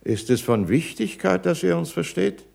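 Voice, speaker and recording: An actor playing a smug, pompous court philosopher in a 1960s German radio play, with florid, ceremonious phrasing. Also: male voice, fairly high pitch, lively intonation, normal speed, gravelly, darkish tone, heavily acted. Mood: condescending.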